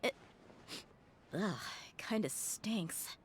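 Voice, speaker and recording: An actress playing a tough, blunt mercenary woman in a video game, dry and exasperated but quietly sentimental. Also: medium pitch, slow, slight background noise, close to an everyday voice. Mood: dry, unimpressed.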